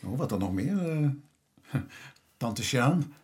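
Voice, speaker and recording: An actor playing an earnest, somewhat naive police inspector in a radio drama, formal and a little hesitant. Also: male voice, medium pitch, sing-song, takes it slowly, breathy edge, exaggerated voice. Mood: awkward, polite. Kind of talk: naturalistic Dutch radio drama acting.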